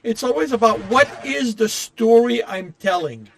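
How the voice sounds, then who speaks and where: A man chatting casually while playing a game, explaining his thoughts in a halting, rambling way. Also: extremely high pitch, expressive, vocal fry, slight background noise. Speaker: game streamer. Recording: game music faintly behind the voice. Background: faint video game music.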